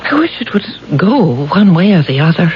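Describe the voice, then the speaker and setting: An actress playing a gentle, apologetic, middle-aged invalid wife in a 1970s radio drama, soft, plaintive and resigned. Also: female voice, lowish voice, very animated, moderate pace. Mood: resigned, sad. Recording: dull old radio recording, faint noise.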